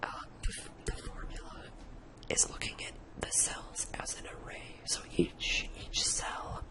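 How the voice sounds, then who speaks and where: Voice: measured pace, low-quality recording. Whispered ASMR spreadsheet tutor of indistinct sex. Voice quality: breathy, unvoiced whisper. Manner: informal, thinking aloud step by step. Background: computer keyboard typing.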